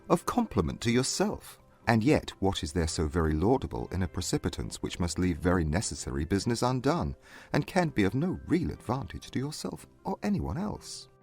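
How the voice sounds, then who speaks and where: An actor playing a proud, reserved young gentleman in a dramatised period novel, speaking in formal, precise arguments. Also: lowish voice, slightly rough, heavily acted. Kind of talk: dramatised classic novel audiobook.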